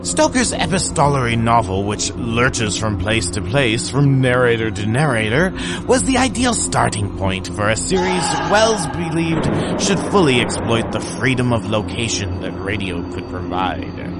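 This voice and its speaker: A man putting on a theatrical, drawn-out voice as a campy horror host introducing old radio shows. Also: medium pitch, big pitch swings, lightly acted. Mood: playfully spooky. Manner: theatrical, swooping, drawn-out delivery. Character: campy horror host greeting boys and ghouls. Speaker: podcast host presenting old-time radio. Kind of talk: scripted introduction in a horror character voice. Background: loud music.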